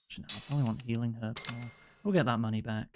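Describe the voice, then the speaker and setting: A man's voice: medium pitch, steady tone, very fast, noisy background. A man giving casual, joking commentary while he plays a video game and thinks aloud. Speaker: streamer. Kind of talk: casual let's-play commentary. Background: game music.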